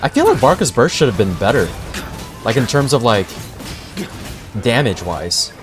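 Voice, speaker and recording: A male game streamer gives casual live commentary. He is excitable and slangy, with a middle-pitched voice that moves a lot. Game music is clearly audible behind him. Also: normal speed.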